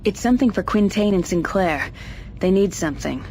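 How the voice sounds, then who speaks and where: An actress playing an earnest, spirited, brisk female military officer in a sci-fi game cutscene. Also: mid-range voice, expressive, darkish tone, faint music behind, close to an everyday voice. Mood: brisk, encouraging. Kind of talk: scripted game cutscene acting.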